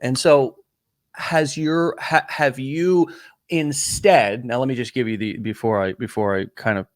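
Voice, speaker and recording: A man's voice: medium pitch, fairly even intonation, a little slow, no room sound. Male podcast host, middle-aged, loose rambling delivery, dry recording. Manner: rambling, repeats words while searching for phrasing. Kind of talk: conversational interview.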